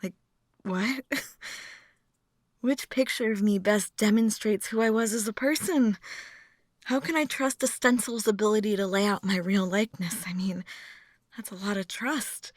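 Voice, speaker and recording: A woman's voice: medium pitch, unhurried, pauses often, no echo, studio quality, close to an everyday voice. A woman acting a chatty, philosophically morbid date in an intimate ASMR roleplay, musing and teasing as she rambles to the listener. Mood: wry, musing.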